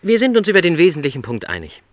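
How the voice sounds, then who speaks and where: An actor playing a middle-aged travelling salesman in a radio play, gentle, earnest and lonely, with courteous, careful, apologetic phrasing. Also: fairly high pitch, wildly swooping pitch, quick pace, no echo.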